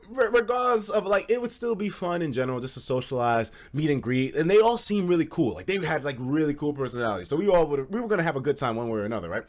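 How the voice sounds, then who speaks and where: Young American male streamer, high voice, slangy casual storyteller who swears freely. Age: young adult. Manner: animated, slangy and profane, acts out quoted dialogue. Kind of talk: casual storytelling to a live chat.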